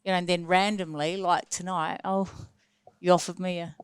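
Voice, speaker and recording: A woman's voice: lowish voice, little pitch movement, moderate pace, clear, resonant tone, dry recording. An Australian woman chatting enthusiastically as a singer and actress guest on a radio talk show. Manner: chatty, enthusiastic, lots of fillers. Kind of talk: casual interview chat. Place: radio studio.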